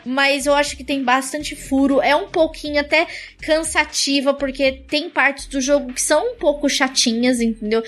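A woman with a Brazilian Portuguese accent, chatting casually as a podcast co-host giving game recommendations. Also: high voice. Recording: faint music behind the voice. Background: faint background music.

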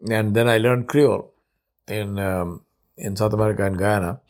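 Older Indian man, low steady voice. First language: Urdu. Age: 70s. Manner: unhurried, thoughtful, with frequent "uh" pauses and restarts. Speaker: podcast host. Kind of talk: reflective solo podcast monologue.

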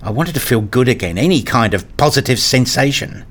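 A man reading a scripted first-person story as narrator, in a measured, introspective manner. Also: medium pitch, animated, fairly bright tone.

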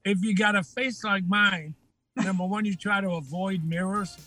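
A man's voice: high voice, normal speed, music in the background. An older man with a Texan accent, a former TV news anchor telling anecdotes and laughing as a podcast guest. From Texas. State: slightly changed voice from breathing trouble. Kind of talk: casual interview.

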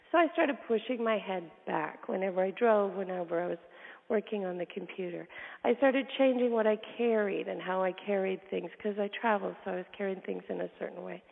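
Creaky-voiced woman host on a phone line. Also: mid-range voice, brisk. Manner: chatty, loose, with fillers. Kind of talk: casual interview talk. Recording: tinny phone line with reverb.

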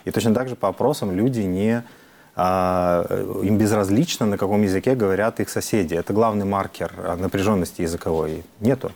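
A man talks quickly in a lowish, mellow voice. He explains his points earnestly in an interview and often stops to hesitate with fillers. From Ukraine. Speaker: member of parliament, guest. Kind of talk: interview answers.

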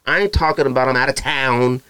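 A man's voice: mid-range voice, brisk, clear, resonant tone, no echo, rough recording. Slangy man riffing on a podcast. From Arizona. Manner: slangy, rambling, mimics other people with animated asides. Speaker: podcast host. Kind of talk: casual solo podcast talk.

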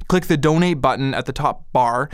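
A man's voice: mid-range voice, normal speed, no room sound, clean studio sound. A man hosting a podcast, introducing the next talk and making a casual, upbeat donation appeal. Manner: casual, upbeat, filler-heavy. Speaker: podcast host.

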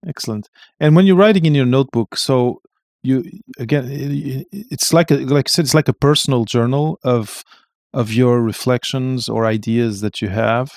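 A man's voice: mid-range voice, normal speed, vocal fry, darkish tone, dry recording, clean studio sound. Hesitant male interview host. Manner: hesitant, many restarts and fillers. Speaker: podcast host. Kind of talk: live-streamed interview.

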